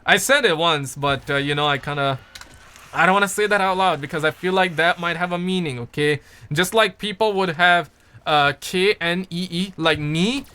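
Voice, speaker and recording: A man's voice: fairly high pitch, moderate pace, sharp tone, smooth. A young man from Pakistan, chatting casually on a livestream and answering viewer comments in a rambling, playful way that repeats words. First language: Urdu.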